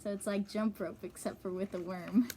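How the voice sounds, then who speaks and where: A woman teacher reading a children's storybook aloud, pausing to explain and ask young listeners questions. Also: medium pitch, steady tone, warm tone, slight background noise.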